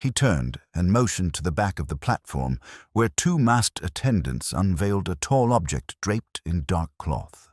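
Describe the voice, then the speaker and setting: A man narrating a scripted mystery story in a measured, formal way. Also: sits low, big pitch swings, no echo, studio quality. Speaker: audiobook narrator.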